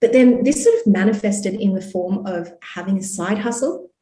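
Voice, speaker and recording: A woman's voice: mid-range voice, normal speed, dark tone, a little hiss or hum. Chatty Australian woman in a roomy space. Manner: chatty, upbeat, many fillers like "you know" and "like". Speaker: podcast guest, entrepreneur. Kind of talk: casual interview storytelling. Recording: roomy echo.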